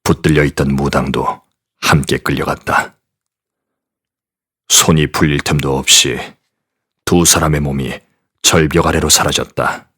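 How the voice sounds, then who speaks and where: An actor playing a grim, determined paranormal agent, narrating gravely and deliberately in an audio drama. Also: male voice, very deep voice, fairly even intonation, pushed and bright, exaggerated voice. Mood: grave, tense. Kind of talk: dramatic first-person scripted narration.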